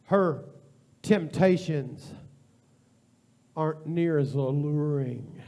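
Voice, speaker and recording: Gravelly, high-pitched preaching man. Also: extremely slow. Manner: impassioned preaching that rises to near-shouting, then drops to a quiet prayer; informal, repetitive cadence. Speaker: pastor. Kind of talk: sermon. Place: church sanctuary.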